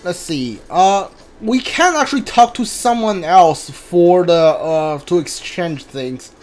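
Gamer slowly muttering thru menus, casual halting commentary, game music in back. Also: mid-range voice, expressive, a little mellow. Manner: halting, thinking aloud, muttering lists. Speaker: streamer playing a video game. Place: voice-over on game footage with game music behind.

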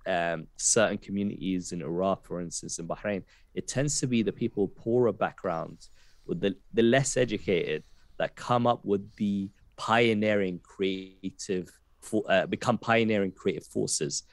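A man in his thirties speaks in a very low voice with a West London accent. He muses slowly and hesitantly, full of fillers and restarts. The sound is dry and close, as on a headset during a video call. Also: no room sound. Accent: West London English. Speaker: poet guest. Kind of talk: casual podcast conversation. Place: home room, video call.

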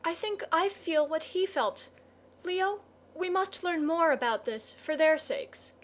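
A woman's voice: slow, slight background noise. A woman voicing a tender, impulsive young goddess in an earnest manner. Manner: high-pitched, earnest.

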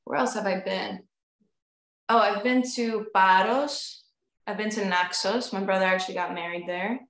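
A young woman in her twenties, a singer, being interviewed over a video call from a room at home. She talks in a bubbly, animated way and laughs often. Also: medium pitch, moderate pace. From United States, family from Crete. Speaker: guest, recording artist. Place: indoor room at home with instruments on the wall. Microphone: video call, webcam. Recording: roomy video call audio.

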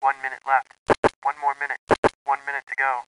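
An actor playing a terse American World War II army radio operator, giving clipped procedural reports through a radio filter for a video game. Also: male voice, mid-range voice, monotone, fairly quick. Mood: terse, businesslike. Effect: military radio filter. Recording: very noisy, crackly radio transmission.

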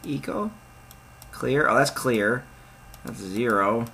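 A man's voice: mid-range voice, slow pace, vocal fry, a little hiss or hum. A young man playing a point-and-click game, reading its text aloud and joking about it. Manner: reads game text aloud, jokey and excitable asides. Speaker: let's play gamer. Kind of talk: casual let's play commentary.

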